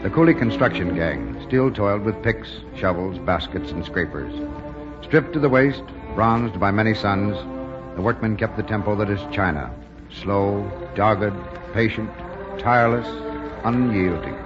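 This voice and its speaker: A man's voice: fairly low pitch, animated, unhurried, over music. A man with an American accent giving dramatic scripted narration for an old-time radio drama. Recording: dull vintage radio recording.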